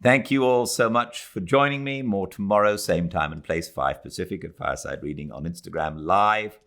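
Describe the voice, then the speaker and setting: A man's voice: low voice, normal speed, a bit bright. A man with a British accent, working as an audiobook narrator, reading literary prose. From Britain.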